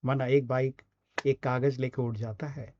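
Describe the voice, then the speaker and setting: Male Indian coach, mid-pitched and soft-toned, explanatory online teaching with frequent check-ins, dull recording. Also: steady tone, measured pace, a little hiss or hum. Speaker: voice and radio scriptwriting coach.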